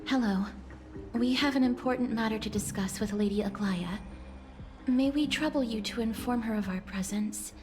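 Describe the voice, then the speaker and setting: High-pitched, courteous young noblewoman played by an actress. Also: normal speed, music in the background, lightly acted. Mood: polite, calm. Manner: polite, formal, soft-spoken. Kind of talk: video game voice acting.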